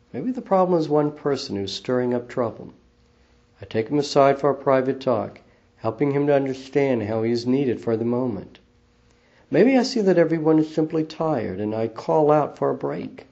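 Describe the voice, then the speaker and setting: A man who serves as executive minister of a church, giving a calm, measured devotional talk in a quiet voice. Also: mid-range voice. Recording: slightly dull lo-fi recording.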